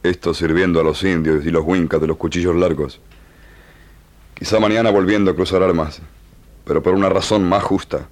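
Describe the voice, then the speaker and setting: A man plays a proud Pampas Indian chief in an old radio drama. The voice is very low and solemn, with fierce dignity and a sense of justice, in broken, foreign-sounding phrasing. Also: fairly even intonation, moderate pace, a little mellow, close to an everyday voice. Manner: solemn, speaking broken Spanish in gerunds and infinitives.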